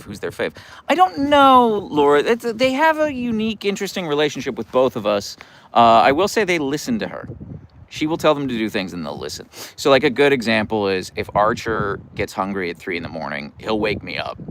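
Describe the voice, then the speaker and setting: A middle-aged American man hosts a relaxed livestream in a low voice that swings widely in pitch. He is playful and wry, reading out viewer comments and feeling his way into answers with halting starts. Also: a little hiss or hum. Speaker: livestream host.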